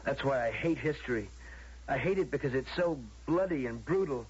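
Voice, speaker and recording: An actor playing an earnest, apologetic, tender suitor, speaking gently and imploringly in an old-time radio drama. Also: male voice, mid-range voice, lively intonation, brisk, husky. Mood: earnest, urging. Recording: dull, humming, noisy old radio recording.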